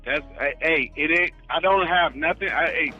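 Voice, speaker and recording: A man on a recorded jail phone call, talking in halting, rambling, repeated phrases. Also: a little high, faint music behind. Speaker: jail caller.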